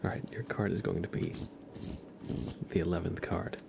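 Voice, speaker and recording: Male ASMR host, low gravelly fry, soft whispery delivery, casual and gentle. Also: level tone, noisy background. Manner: gentle, unhurried, casual chat with viewers.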